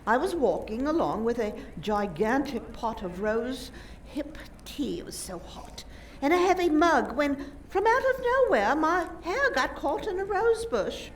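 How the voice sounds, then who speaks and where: A flustered, clumsy lady, acted by a woman in a radio drama, speaks in a very high, plaintive voice. She draws her words out slowly and haltingly, with theatrical feeling, over a faint background noise. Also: sing-song. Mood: earnest recounting. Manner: plaintive, drawn-out, halting self-corrections. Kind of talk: community theater radio play acting.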